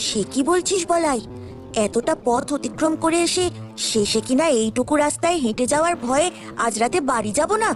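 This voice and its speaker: A confident young village child, voiced by a woman in a cartoon, high and very expressive. The manner is bold and loyal, with clear music behind. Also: a little fast. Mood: determined. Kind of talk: Bengali village cartoon dialogue.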